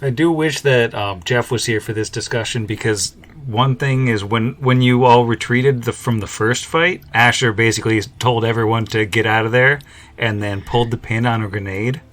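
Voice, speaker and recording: A man's voice: medium pitch, fairly even intonation, moderate pace, a bit bright. A man speaking casually in a group discussion as a podcast panelist.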